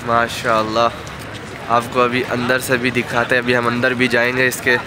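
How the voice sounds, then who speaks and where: A man's voice: medium pitch, completely flat delivery, normal speed. Young male travel vlogger, awed and chatty, phone mic outdoors, noisy street ambience. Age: young adult. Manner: warm and awed, repeating blessings. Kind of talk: casual walking vlog. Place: outdoors at a large mosque, then inside its hall. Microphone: handheld phone camera at arm's length.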